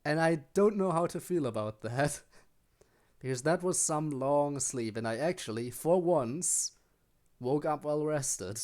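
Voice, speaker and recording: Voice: medium pitch, animated, a little slow, darkish tone. A game streamer chatting casually to viewers while playing, wandering off into rambling asides.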